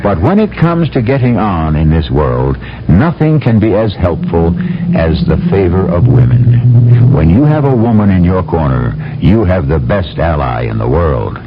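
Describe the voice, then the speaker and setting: A man's voice: deep voice, big pitch swings, measured pace, muffled recording, background noise. A man narrating a radio drama as host in a theatrical, rhetorical way. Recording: old radio broadcast with music.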